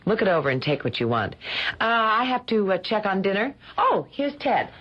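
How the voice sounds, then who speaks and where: A woman's voice: mid-range voice, very animated, moderate pace. A woman acting in a radio drama as a wry, teasing but affectionate wife and hostess, with dry banter. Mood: wry, hospitable. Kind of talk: radio theater acting, naturalistic dialogue. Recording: muffled, dull old radio broadcast.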